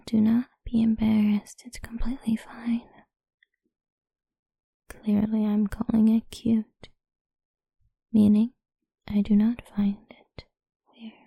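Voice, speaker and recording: A woman whispering a slow, doting and teasing ASMR roleplay in a breathy voice. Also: a little high, dark tone, dry recording.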